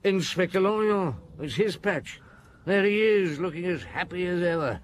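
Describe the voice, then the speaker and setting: A man playing a weary, gruff but compassionate middle-aged French chief inspector in a radio drama. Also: high voice, animated, normal speed, a little hiss or hum. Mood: weary, businesslike. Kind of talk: British radio drama acting.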